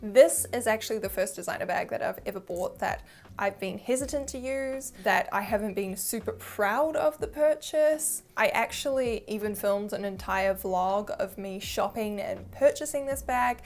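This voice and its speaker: A woman's voice: medium pitch, moderate pace, soft background music. A young woman with a New Zealand accent, chatting candidly to camera as she reviews a handbag, self-deprecating and open.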